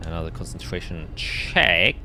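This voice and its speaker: A man's voice: low-pitched, animated, moderate pace, creaky, pushed and bright. A man speaking casually and colloquially as the game master of a tabletop roleplaying game, narrating the action. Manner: colloquial, swearing. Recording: music playing behind the voice. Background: game background music.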